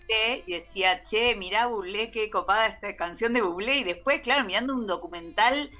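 A woman's voice: mid-range voice, a little fast, pushed and bright, soft background music. A young woman with a Rioplatense Spanish accent, an actress guest joining by call, enthusiastic and slangy. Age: young adult. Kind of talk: interview over a call app. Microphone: remote call.

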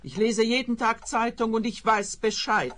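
A woman's voice: fairly high pitch, steady tone, moderate pace, a bit bright, a little hiss or hum, lightly acted. An actress playing a paranoid, self-righteous old woman in a radio play, declaiming her lines theatrically. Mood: suspicious, indignant. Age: older. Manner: declamatory, melodramatic.

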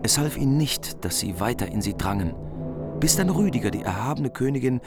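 A man's voice: medium pitch, animated, fairly quick. A man reading scripted literary narration for a radio play. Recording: music behind the voice. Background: music.

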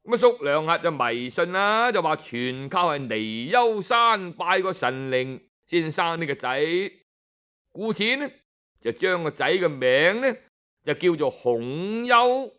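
Male Cantonese storyteller, higher-pitched, unhurried, colloquial and explanatory, drawn-out phrase endings, slightly dull recording. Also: big pitch swings, clear, resonant tone. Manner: conversational, explanatory, with drawn-out phrase-end particles. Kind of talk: Cantonese oral storytelling, scripted yet colloquial narration.

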